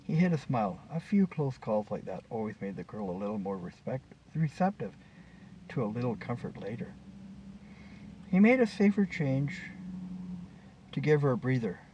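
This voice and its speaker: Guy reading his own short story out loud, mellow, kinda muffled w a bit of noise. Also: medium pitch, big pitch swings, moderate pace, pauses often. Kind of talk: scripted story narration. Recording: distant mic, dull, faint background noise.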